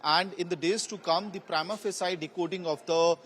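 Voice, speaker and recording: Higher-pitched Indian man reporting live. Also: steady tone, fairly bright tone, a little hiss or hum. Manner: halting, explanatory. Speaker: news reporter.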